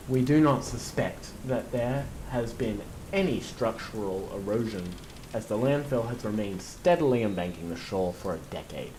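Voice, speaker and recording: A man's voice: lowish voice, a little mellow, a little hiss or hum, close to an everyday voice, poor audio. A man acting as an anxious, defensive corporate city man in an audio drama, officious and pleading by turns.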